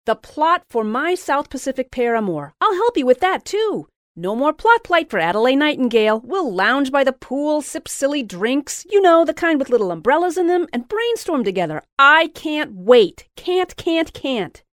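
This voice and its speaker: A shrieking, gushing literary agent, as a woman narrator puts her on, piling one exclamation onto the next. She soars high and swoops wildly in pitch, heard up close in a dry recording. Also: very animated, normal speed, few pauses, dry recording. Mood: scheming. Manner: shouting, breathless exclamations, repeating words.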